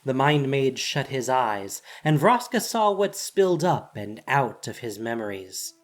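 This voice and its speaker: A man's voice: medium pitch, big pitch swings, a little slow. A man reading scripted fantasy narration in a dramatic storytelling style, as the narrator of an audio drama.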